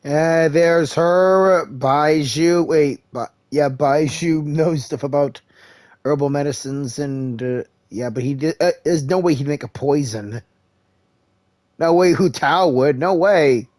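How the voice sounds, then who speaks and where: Slow, rambling man playing a game. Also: a little high, darkish tone, no room sound. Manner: loose, rambling, lots of uhs and restarts. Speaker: gameplay streamer. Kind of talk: casual playthrough, reading lines aloud and reacting.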